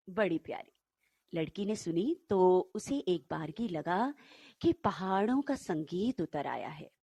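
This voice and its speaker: A woman narrating a short story in an unhurried, expressive, dramatic storytelling manner. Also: on the low side, gravelly, husky, no room sound.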